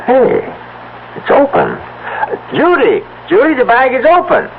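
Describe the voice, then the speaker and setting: An actor playing an excitable, stubborn farmer with plain, urgent speech in a 1970s radio drama. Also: male voice, extremely high pitch, unhurried, exaggerated voice. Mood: excited, urgent. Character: excitable, stubborn farmer husband, convinced of miracle cures. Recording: tinny, band-limited old radio broadcast, noisy.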